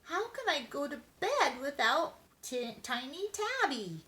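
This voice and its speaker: A woman voicing an excitable, curious little girl kitten in a storybook, in a childlike, pleading manner. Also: high-pitched, slow pace, pitched above the performer's own voice, full character voice.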